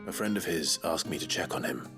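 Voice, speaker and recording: Determined young male warrior, game drama: deep voice, businesslike, restrained, over clear music. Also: lively intonation, a little fast. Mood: businesslike, steady. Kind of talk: dramatic video game acting. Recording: music behind the voice.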